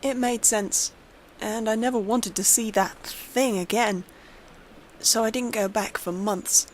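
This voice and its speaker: A woman's voice: a little high, fairly even intonation, unhurried, slight background noise. A woman reading a scripted horror story aloud as its narrator.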